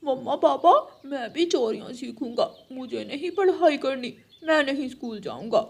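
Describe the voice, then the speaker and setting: A woman's voice: sits high, expressive, normal speed, vocal fry, a little mellow, heavily acted. A woman voicing a naughty young boy crow in a children's moral cartoon, pleading and whiny. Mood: eager, defiant.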